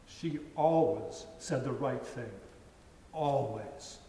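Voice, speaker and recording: Man, plain-voiced story narrator, mid pitch, reverberant hall, distant mic. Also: takes it slowly, dark tone, slight background noise. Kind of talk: dramatic reading of a story.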